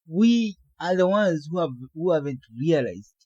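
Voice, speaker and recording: A man with a Kenyan accent, a native Kikuyu speaker, chatting casually as a podcast co-host, animated and swearing freely. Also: a little high, unhurried, no room sound, lo-fi sound. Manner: animated, swears freely, restarts words often.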